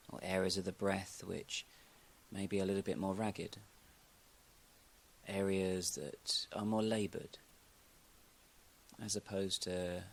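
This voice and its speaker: A man speaking as a yoga nidra teacher, giving calm, unhurried guided meditation instructions with long pauses. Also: deep voice, creaky, a little hiss or hum.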